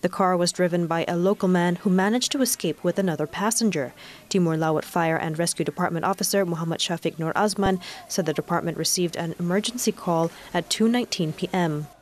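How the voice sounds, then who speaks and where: A woman's voice: lowish voice, brisk, darkish tone. A woman with a Malaysian accent reading scripted news as a television presenter. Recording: music bed behind voice.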